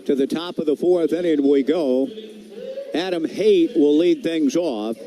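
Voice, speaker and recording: An American man calling baseball play-by-play on the radio in a measured, articulate, descriptive way. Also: a little high, warm tone, low-quality recording. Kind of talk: sports play-by-play commentary.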